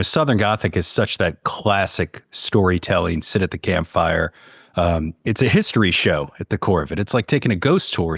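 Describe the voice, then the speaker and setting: Male interview guest, deep register, informal conversational talk, dry studio-like sound. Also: a bit bright, no echo. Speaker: podcast guest, audio storyteller.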